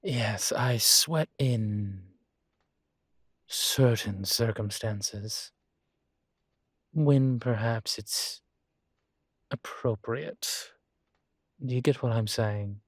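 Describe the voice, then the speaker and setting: A man playing a vain, seductive yet politely awkward incubus demon professor in an intimate audio roleplay, speaking hesitantly with drawn-out phrasing. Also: mid-range voice, husky, dry recording. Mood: coy, measured. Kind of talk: intimate audio roleplay, one-sided conversation.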